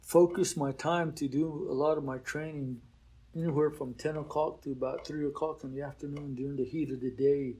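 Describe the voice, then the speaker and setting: An older man speaks at an unhurried pace in an interview, reflecting as he goes. His voice is dark and relaxed. He keeps it conversational, with plenty of "you know.". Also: fairly high pitch, low-quality recording. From Oklahoma. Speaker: teacher at a boarding school.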